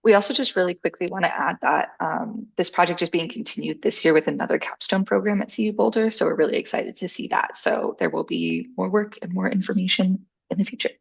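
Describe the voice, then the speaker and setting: A woman's voice: fairly low pitch, fairly quick, vocal fry, few pauses, breathy edge, muffled recording. A young woman in her twenties, a graduate student answering questions casually, hedging with "like".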